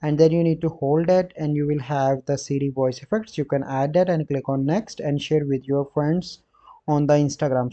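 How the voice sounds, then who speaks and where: Mellow man narrating a how-to, dull audio. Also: fairly high pitch. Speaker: tutorial host. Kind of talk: how-to screen-recording narration. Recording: dull, lo-fi voice-over.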